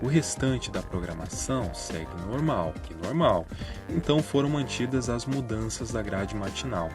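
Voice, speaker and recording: A Brazilian man with a mid-pitched voice narrates news commentary. He explains things informally, as in a video rundown. Music is clearly audible behind his voice. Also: lively intonation, normal speed. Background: background music.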